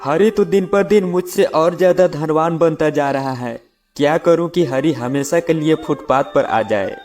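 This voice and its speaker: Music runs under a fairly high male voice, acted out by a man. It is a greedy cartoon farmer, eaten up by jealousy. He grumbles and schemes his way through a moral tale. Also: unhurried, darkish tone, close to an everyday voice. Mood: jealous, brooding. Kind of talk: acted children's moral story.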